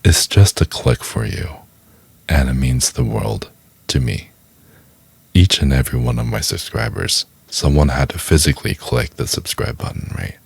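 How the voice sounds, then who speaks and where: Male ASMR creator, casual and soft-spoken; heavy vocal fry, husky grain, lively intonation. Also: moderate pace, dry recording. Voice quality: very deep, gravelly, husky. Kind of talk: soft, casual address to subscribers.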